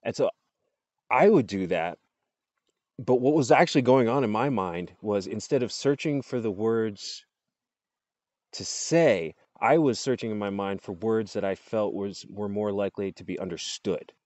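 A man's voice: on the low side, moderate pace, darkish tone, no echo. A man in his forties chatting casually outdoors into an earbud mic, laughing often as he rambles. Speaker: vlogger. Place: outdoors under trees. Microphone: earbud headset mic, phone close up.

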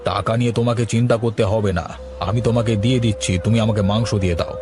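A man's voice: lowish voice, fairly even intonation, rapid-fire, noisy background, natural voice. A man voicing a benevolent, bossy older family guest in a cartoon, avuncular and authoritative. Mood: brisk. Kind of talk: cartoon voice acting. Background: music.

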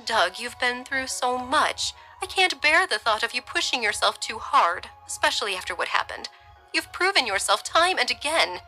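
A worried middle-aged wife, voiced by a woman reader, gentle and pleading, lifting higher when she frets. Her tone is very bright and sharp. Faint music sits behind her. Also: lively intonation, moderate pace, low-quality recording.

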